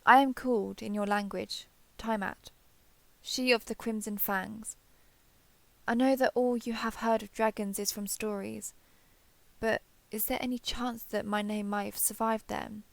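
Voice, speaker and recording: A woman playing an ancient, proud female dragon in human form in an audio roleplay, speaking in formal, measured, archaic phrasing. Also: medium pitch, fairly even intonation, gravelly, dry recording. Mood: warm, curious. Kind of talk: scripted audio roleplay acting.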